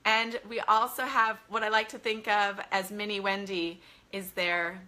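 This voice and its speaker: A woman with a middling pitch and a clearly bright tone hosts an informal livestream. She talks as a children's author to her online followers, enthusiastic and effusive, in a loose conversational style. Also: level tone, measured pace. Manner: gushing, enthusiastic, greets viewers by name. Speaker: children's author hosting a live bedtime reading. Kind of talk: casual livestream chat with viewers.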